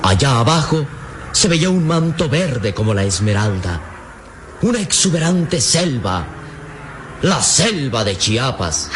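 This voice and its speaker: A man's voice: mid-range voice, very animated, a little slow, noisy background. A man narrating an adventure radio serial in a florid, emphatic, theatrical style. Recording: old radio recording with music underneath.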